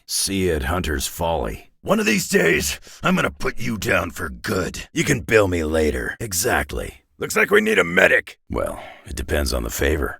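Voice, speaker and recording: An actor playing a gruff, world-weary, tough older brawler in a video game, with a gravelly, raspy growl and a blunt, laconic delivery. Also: male voice, extremely low pitch, fairly bright tone, no echo, clean studio sound, exaggerated voice. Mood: low, earnest, then shouting in battle. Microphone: close, dry studio microphone.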